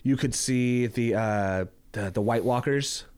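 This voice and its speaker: A man in his twenties talks through a casual podcast conversation, circling his point and leaning on "like" at every turn. His voice sits at an ordinary middle pitch, and his manner is easygoing and unpolished. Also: unhurried, a little mellow, clear, resonant tone. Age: 20s. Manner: rambling, heavy use of "like" fillers. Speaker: podcast co-host. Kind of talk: casual chat.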